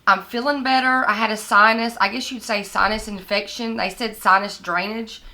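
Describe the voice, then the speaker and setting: A young woman talks through a planner haul in a bright, forward voice. Her voice is hoarse and scratchy after being sick. She chats casually and holds items up to the camera. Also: medium pitch, fairly even intonation, normal speed. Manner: chatty, shows items to camera. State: hoarse, recovering from lost voice. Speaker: planner vlogger. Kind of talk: casual product haul vlog. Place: indoors at a desk with lighting kit.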